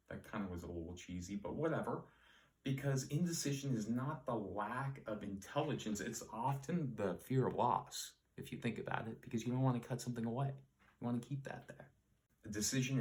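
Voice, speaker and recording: A man teaching word origins in a relaxed, rambling, self-deprecating way. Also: fairly low pitch, distant mic. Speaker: Latin etymology teacher. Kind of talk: casual solo etymology lesson. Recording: echoey room, voice off-mic.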